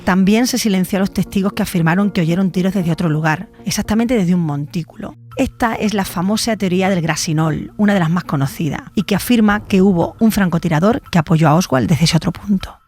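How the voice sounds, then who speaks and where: A woman's voice: lowish voice, brisk, few pauses, darkish tone, faint music behind. A woman giving scripted documentary narration as a podcast journalist.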